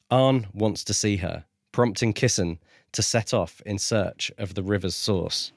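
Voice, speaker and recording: A man's voice: on the low side, no room sound, clean studio sound. A man co-hosting a podcast, recapping and casually discussing a book. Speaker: podcast co-host. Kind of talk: casual chat.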